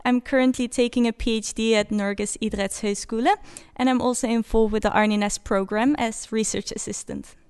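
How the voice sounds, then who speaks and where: Smooth-voiced young woman from the Netherlands. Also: on the high side, fairly even intonation, normal speed, darkish tone. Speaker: panel guest, doctoral researcher. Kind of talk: discussion.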